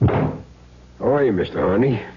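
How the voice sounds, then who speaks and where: An actor playing a cool, wisecracking hired killer in a radio drama, dry and glib. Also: male voice, on the low side, lively intonation, moderate pace, a little hiss or hum, lightly acted. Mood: cool, businesslike. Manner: dry, glib, evasive answers. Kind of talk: 1970s radio drama acting. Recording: dull vintage radio broadcast.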